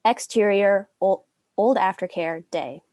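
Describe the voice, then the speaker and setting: A woman's voice: mid-range voice, measured pace, darkish tone, dry recording. A young woman reading stage directions as the narrator of a dramatic script. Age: young adult.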